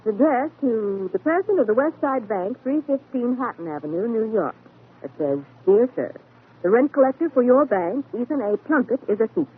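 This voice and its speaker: Young secretary, very husky, prim and curious; narrow vintage radio sound. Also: female voice, fairly high pitch, very animated, normal speed, full character voice. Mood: pert, curious. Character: pert young female secretary to a detective. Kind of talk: old-time radio acting. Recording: narrow, tinny old radio broadcast.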